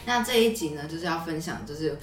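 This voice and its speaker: Low-voiced young Taiwanese woman, roomy and distant. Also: lively intonation, fast. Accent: Taiwanese Mandarin. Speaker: podcast host. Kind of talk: casual conversational storytelling. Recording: roomy echo, off-mic, music underneath.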